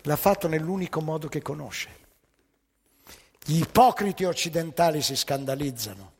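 A man delivering a theatrical spoken monologue as a stage storyteller, rhetorical and emphatic, breaking his sentences into short phrases, with a gravelly, husky voice. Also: on the high side, moderate pace, in a large hall.

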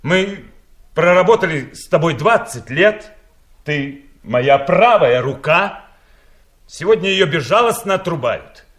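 An actor playing a maudlin older company director, speaking haltingly and sentimentally in a satirical radio play. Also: male voice, a little high, lively intonation, fairly bright tone. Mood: mournful. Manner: halting, quavering sentimental speech. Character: maudlin trust director, older man, theatrically grieving.

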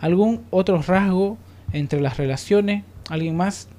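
A man's voice: high-pitched, measured pace, mellow. A man hosting a panel discussion, speaking reflectively with emphatic repetition as he searches for words. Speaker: radio programme host.

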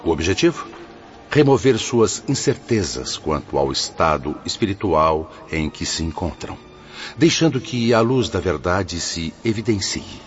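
Low-voiced, devout spirit mentor. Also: male voice, sing-song, a little hiss or hum. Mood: formal, kindly. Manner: formal, reverent, measured oratory. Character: benevolent, devout male spirit mentor addressing his pupils. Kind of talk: radio drama acting, lecture-like. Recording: dull lo-fi radio drama, music behind.

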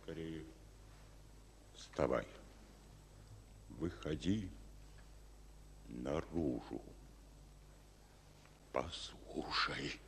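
An actor playing a gruff Soviet general in a wartime radio drama, husky, giving terse orders in short, weighty phrases. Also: male voice, extremely low pitch, drawn-out words, pauses often, fairly bright tone, exaggerated voice. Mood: hushed, insistent. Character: General Protsenko, gruff, hushed, commanding Soviet officer.

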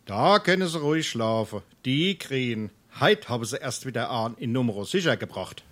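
An actor playing a sly, good-natured butcher with a Darmstadt Hessian accent, wry and matter-of-fact in a dialect stage comedy. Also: male voice, mid-range voice, sing-song, moderate pace, fairly bright tone. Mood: chatty.

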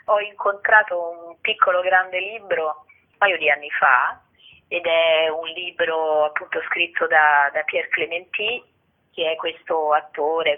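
A woman, an actress and playwright, explaining her work reflectively in an interview, searching for words and adding little tag questions. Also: low voice. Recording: tinny phone line on radio.

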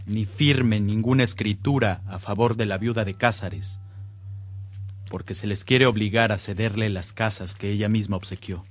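A man playing a grave, cautious older church dignitary in a radio drama, formal and measured, with a Mexican accent. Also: lowish voice, steady tone, dry recording, lightly acted. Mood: fatherly caution.

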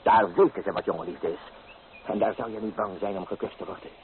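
An actor playing an impetuous, romantic young student lover, coaxing and dramatic, in a radio play. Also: male voice, low voice, a little fast. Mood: ardent, persuasive. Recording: tinny, noisy old radio play.

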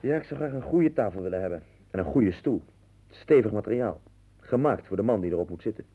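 Casting: blunt, pompous lecturer, very low rough voice, vintage radio drama, phone-thin sound. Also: male voice, animated, brisk, noisy background. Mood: musing, hearty. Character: blunt, domineering friend, lecturing and faintly pompous. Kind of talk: 1960s Dutch radio play acting. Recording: tinny, band-limited 1960s radio recording.

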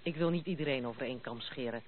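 Casting: headstrong young aristocratic woman, blunt radio drama delivery, phone-thin vintage sound with some hiss. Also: very deep voice. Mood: blunt, dismissive. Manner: brisk, self-assured, outspoken. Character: headstrong, unconventional young noblewoman, blunt and proud. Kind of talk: Dutch radio play acting. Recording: muffled narrow-band old radio recording, noisy.

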